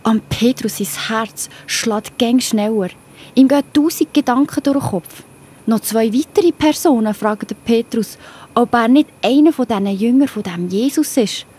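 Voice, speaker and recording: A woman's voice: fairly high pitch, normal speed, darkish tone, breathy edge. A woman with a Swiss German accent narrating a scripted children's Bible story expressively, speaking directly to young listeners.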